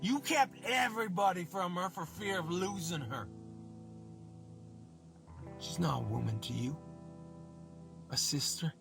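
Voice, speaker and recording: A man's voice: sits high, animated, unhurried, gravelly, pauses often, music in the background, exaggerated voice. A man playing an earnest, defiant cowboy suitor in an old western radio drama, with folksy, emphatic speech. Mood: angry.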